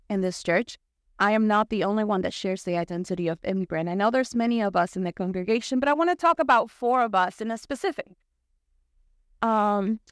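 A woman's voice: mid-range voice, dry recording, studio quality. Salvadoran woman speaking to a church. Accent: Salvadoran Spanish. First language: Spanish. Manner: conversational, with frequent "like" and "right?". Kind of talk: personal talk to a congregation. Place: church sanctuary.

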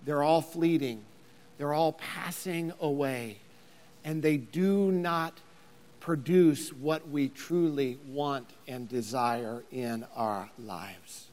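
Pastor, thirties, male; deliberate, rises to emphatic near-shouts, church hall reverb. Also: on the high side. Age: 30s. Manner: builds to emphatic near-shouting, repeats words. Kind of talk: sermon.

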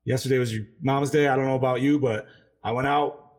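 A man's voice: medium pitch, fairly even intonation, fast. A man with a wife co-hosting a podcast, laid-back and casual, saying dude a lot.

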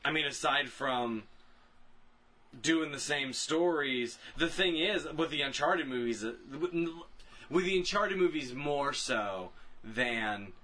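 A man's voice: mid-range voice, expressive, takes it slowly, bright, forceful tone. A man co-hosting a podcast, talking casually and with opinions about films and games, emphatic and repeating phrases for stress. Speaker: podcast co-host.

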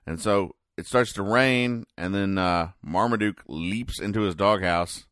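A man hosting a podcast, recapping and explaining in a casual, deadpan, mock-serious way with halting, hesitant delivery. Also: deep voice, dry recording, studio quality. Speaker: podcast host. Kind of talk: casual comic-strip recap commentary.

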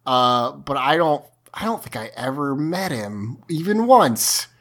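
A man's voice: medium pitch, expressive, a little slow, a bit bright. A man hosting a casual group podcast, rambling with lots of fillers and frequent laughter. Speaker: podcast host.

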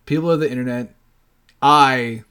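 A man's voice: medium pitch, steady tone, moderate pace, pushed and bright, clean tone. A man in his late thirties hosting a podcast solo, talking casually in a rambling way and swearing freely. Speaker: podcast host.

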